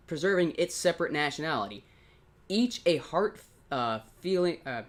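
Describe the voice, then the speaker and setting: An American man co-hosting a casual political podcast, agreeable and chuckling often, with quick asides. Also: on the high side, fairly bright tone. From United States. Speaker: co-host.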